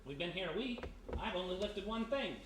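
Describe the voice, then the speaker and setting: A woman's voice: mid-range voice, lively intonation, brisk, natural voice. A woman plays a wry, cocky male private eye with a thieving past. The banter is breezy, in vintage radio mystery style. It is heard from a distance in a reverberant live room, with faint noise. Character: wisecracking, cocky male ex-thief turned private detective. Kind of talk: 1940s radio detective acting.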